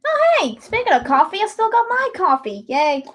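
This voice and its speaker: A woman's voice: very high voice, very animated, moderate pace, a bit bright. A woman chatting casually as host of a livestream, in a talkative, informal way full of exclamations.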